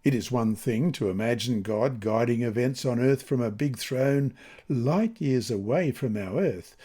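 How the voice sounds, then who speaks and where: Expressive, mellow male devotional reader. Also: medium pitch. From Australia. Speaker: lesson reader. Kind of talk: scripted devotional narration with scripture reading.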